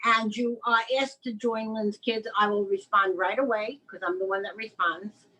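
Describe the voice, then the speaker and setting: Husky-voiced middle-aged woman host. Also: mid-range voice, fairly even intonation, moderate pace, bright, forceful tone, poor audio. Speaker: host, writing mentor. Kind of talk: casual video-call chat. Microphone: video call.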